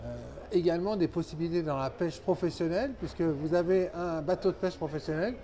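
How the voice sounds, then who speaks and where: A man's voice: on the high side, a little fast, warm tone. A man hosting a product interview, speaking in an enthusiastic, exclamatory way with short interjections. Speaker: interviewer, show host.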